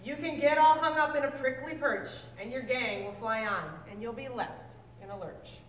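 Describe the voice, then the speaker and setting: A woman reading a children's book aloud to a class, with expressive, deliberate storybook phrasing. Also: high voice. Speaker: teacher reading aloud to sixth grade students. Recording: echoey hall, distant mic, faint noise.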